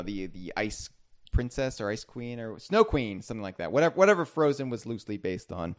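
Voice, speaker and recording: A man's voice: lowish voice, clear, resonant tone. A man hosting a podcast, chatting casually in a rambling, self-deprecating, joking way. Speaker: podcast host.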